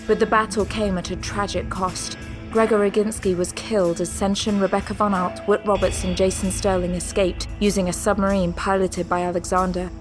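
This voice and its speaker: A woman's voice: medium pitch, level tone, a little fast. A woman reading scripted dramatic narration for an audio drama. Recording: music under the voice. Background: background music.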